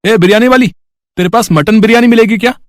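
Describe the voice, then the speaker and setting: A man's voice: high voice, fast talker, no echo, natural voice. A man voicing a rude, haughty customer in a moral story, brusque and dismissive. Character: rude, haughty well-off male street customer. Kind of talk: animated Hindi moral story acting.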